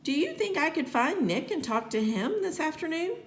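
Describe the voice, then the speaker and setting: A woman voicing a curious, friendly female newspaper reporter in a storybook, speaking slowly and politely. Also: sits high, sing-song, pitched above the performer's own voice.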